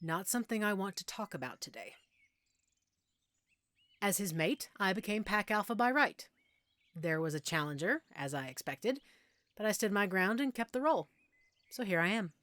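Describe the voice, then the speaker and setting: A woman acts out a middle-aged female werewolf alpha, patient and sure of herself. Her mid-pitched voice explains things in an intimate, conversational audio roleplay style, leaving measured pauses. The sound is dry, with very little room in it. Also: animated, a bit bright, dry recording, natural voice. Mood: subdued, guarded.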